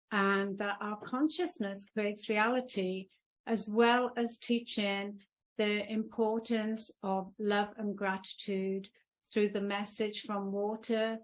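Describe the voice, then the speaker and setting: Unhurried gushing woman host. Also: medium pitch. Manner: gushing, effusive with praise. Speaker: podcast host. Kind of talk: interview podcast intro.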